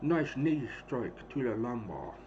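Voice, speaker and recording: A hoarse man in his thirties casually calling the action of a wrestling game he is streaming, rambling and clearing his throat often. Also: medium pitch, animated, slow. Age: 30s. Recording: dull lo-fi stream audio with clear noise.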